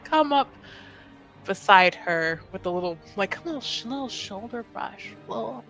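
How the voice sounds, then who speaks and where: A woman playing a tabletop roleplaying game, chatting casually in a giggly, self-deprecating, animated way. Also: mid-range voice, moderate pace, soft background music, low-quality recording.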